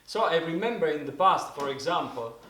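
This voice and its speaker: A man in his forties with an Italian accent, teaching in a casual spoken lesson, often pausing and restarting as he searches for words in English. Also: on the high side, expressive, fairly bright tone, reverberant, a little hiss or hum. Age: 40s. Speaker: didgeridoo teacher.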